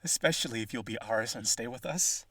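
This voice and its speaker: A man's voice: mid-range voice, fairly quick, bright, forceful tone, slightly rough, no echo. A man playing a rich, devoted vampire aristocrat in a roleplay audio, earnest and pleading, with gushing, hesitant speech. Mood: devoted, coaxing.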